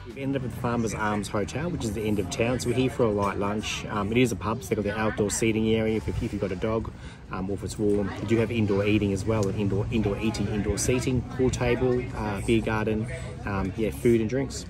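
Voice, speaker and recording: A man's voice: lowish voice, steady tone, fast talker, a crowd in the background. A man in his thirties with an Australian accent, talking casually and searching for words as he explains sights on a town walk, filmed outdoors on a phone. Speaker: travel vlogger. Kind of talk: casual chat and tour narration. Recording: people and traffic behind.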